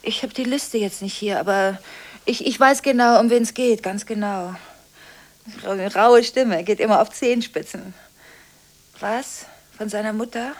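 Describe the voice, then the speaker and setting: An actress playing a volatile, contradictory school headmistress in a radio drama, talking restlessly on the phone, breaking off and repeating herself. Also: female voice, mid-range voice, measured pace, a little mellow, close to an everyday voice. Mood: businesslike, uneasy.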